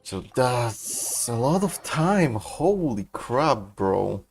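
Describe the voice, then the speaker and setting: A man's voice: medium pitch, big pitch swings, very slow, vocal fry, husky. A man doing casual gaming commentary, slangy and musing aloud. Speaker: gaming streamer. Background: game music.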